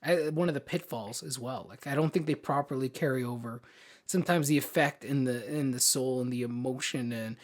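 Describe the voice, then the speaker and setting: A man's voice: mid-range voice, a little fast. A man co-hosting a podcast, talking casually and emphatically about his opinions in informal language. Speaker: podcast co-host. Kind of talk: casual opinionated film discussion.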